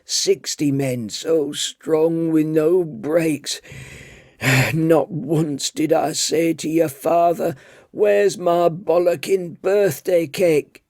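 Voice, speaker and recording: A man voicing a bossy, foul-mouthed elderly office tea lady in a high, scolding voice. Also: fairly even intonation, very slow, darkish tone, dry recording, studio quality. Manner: scolding, coarse, high put-on old woman's voice.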